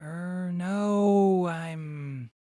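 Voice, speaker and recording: A man's voice: high voice, mellow, clear, resonant tone, no echo, clean studio sound. A man voicing an irritable, unsure young male apprentice mage in an audio drama, speaking hesitantly. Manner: hesitant, halting.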